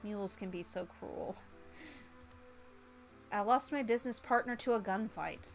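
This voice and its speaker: A woman voicing a proud, earnest gentleman chef with big dreams in a visual novel. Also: mid-range voice, a little slow, faint music behind, lightly acted. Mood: wistful pride.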